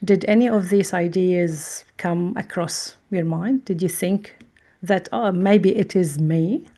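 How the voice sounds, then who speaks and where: A woman hosting a podcast, asking slow, supportive questions of her guest. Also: fairly low pitch, dark tone. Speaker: podcast host. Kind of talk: interviewing.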